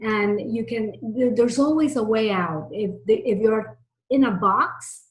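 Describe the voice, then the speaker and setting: A woman's voice: fairly high pitch, a little slow. A middle-aged woman from Miami of Cuban family answering interview questions in an earnest, conversational way, repeating points for emphasis. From Miami, United States, of Cuban family. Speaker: interview guest, technology executive. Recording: roomy, echoey sound.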